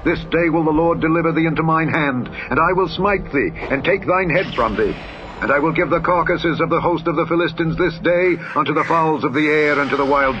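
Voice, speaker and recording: A man voicing a fierce young male shepherd hero in an old-fashioned scripture reading, booming and rising with defiance. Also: dull audio, close to an everyday voice.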